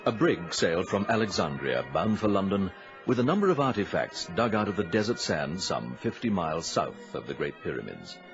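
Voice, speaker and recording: An actor playing a salvage contractor who narrates a sea mystery in a measured, formal storytelling manner for radio. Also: male voice, low-pitched. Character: male salvage diver recounting a sea mystery, grave storyteller. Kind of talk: scripted first-person radio drama narration. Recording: muffled radio drama with faint music bed.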